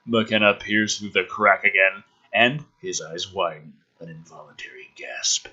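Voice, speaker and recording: A man's voice: low-pitched, a little slow, pushed and bright, husky, lo-fi sound. A man hosting a channel, giving a sarcastic, theatrical script reading with exasperated, foul-mouthed commentary. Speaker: host of a gaming and film channel.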